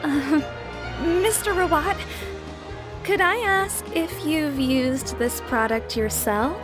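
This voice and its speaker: A curious, impressed bystander in a game. Her voice is high and her pace slow, with music underneath. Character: impressed, curious female onlooker in a crowd. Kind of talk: game dialogue.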